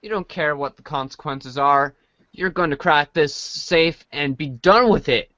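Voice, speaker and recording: A man's voice: fairly high pitch, measured pace, bright, forceful tone, no room sound, muffled recording. A man reading a comic story aloud as part of a podcast reading group. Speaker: podcast reader. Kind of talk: dramatic reading of a webcomic aloud.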